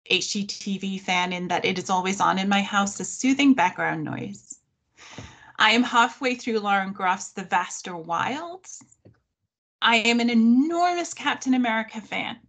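A middle-aged woman from the North of England presents a workshop over a video call, her accent softened after decades in America. She speaks clearly and at a measured pace, friendly with touches of humor, into a webcam in her living room. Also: medium pitch. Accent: mild Northern English, softened by thirty years in the US. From Sheffield, North of England. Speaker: history professor presenting a workshop. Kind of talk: online presentation.

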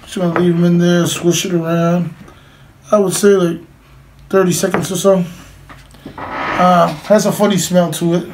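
A man's voice: sits high, little pitch movement, measured pace, long pauses. A middle-aged man talking casually and plainly to camera as he gives a hands-on demonstration indoors. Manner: informal, plain-spoken. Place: indoors, small room with cloth backdrop. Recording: clearly noisy home recording.

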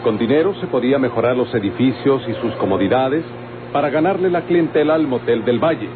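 A man's voice: medium pitch, a little fast. A Mexican man narrating an old radio novela from a script. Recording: tinny old radio recording. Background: music.

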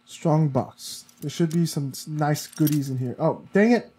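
A man's voice: a little high, unhurried, warm tone, husky. A sniffly, congested man giving casual gameplay commentary, muttering to himself as he plays. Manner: muttering to himself while playing. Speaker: let's play gamer.

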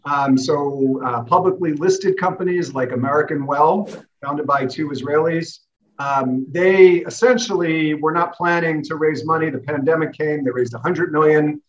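Guest: forties man, a bit high, measured and data-driven, muffled call audio. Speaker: startup founder. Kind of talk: video call interview. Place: office room. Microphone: video call. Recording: dull, lo-fi video call audio.

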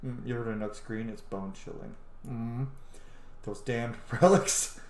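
A man in his thirties doing informal, sweary game commentary, thinking out loud and reading text aloud. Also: medium pitch, steady tone, a little slow, slight background noise. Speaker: gaming video host. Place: small room at home, indoors. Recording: roomy, echoey home recording.

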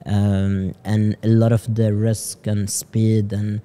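A man in his thirties from Lebanon talks through his work and daily routine in a halting way, doubling back on words. His low voice stays flat and very dark. He is close on a boom-arm studio mic in an office lounge. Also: smooth. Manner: halting, repeats words, quick bursts. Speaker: startup CEO guest. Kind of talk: casual interview answers. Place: office lounge podcast set.

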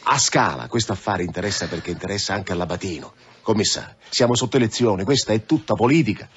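A man's voice: lowish voice, fast, a bit bright, dull audio, slight background noise, close to an everyday voice. An actor playing a wary, blunt police sergeant and anxious family man in a radio drama. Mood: heated, accusing. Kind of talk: naturalistic radio drama acting.

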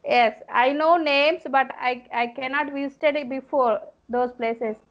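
A woman in her thirties with a Telugu-speaking Indian accent, chatting on a video call in slow English, often repeating words. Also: high-pitched, fairly even intonation. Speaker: English practice channel host. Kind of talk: casual video call chat. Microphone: earphone mic on video call. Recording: dull lo-fi call audio.

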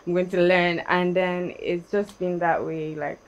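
A woman's voice: on the low side, little pitch movement, a little slow, highs cut off. A young woman from Nigeria, a dancer being interviewed, chatting casually and laughing often on a studio set. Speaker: guest, dancer.

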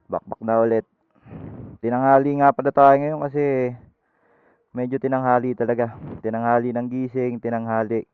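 A man's voice: medium pitch, flat delivery, moderate pace, long pauses, clear, resonant tone, no echo. A man vlogging casually as he rides a motorcycle, talking loosely through a helmet intercom headset. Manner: rambling, loose and chatty with fillers. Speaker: motovlogger. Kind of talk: casual how-to vlog, chatting while riding. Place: outdoors on a motorcycle. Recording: dull lo-fi intercom audio.

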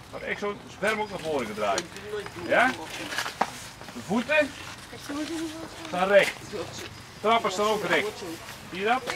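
A middle-aged Dutch man coaching young riders, giving hands-on instructions and asking checking questions, recorded outdoors on a camera. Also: sits high. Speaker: BMX coach. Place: outdoors in a yard by a club building. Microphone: camera mic a few metres away. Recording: outdoor camera audio with group noise. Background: group of young riders.

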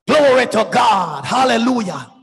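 A man preaching a fervent sermon, shouting and sliding into a half-sung cadence. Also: extremely high pitch, sing-song, measured pace, creaky. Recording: long hall reverb with faint music.

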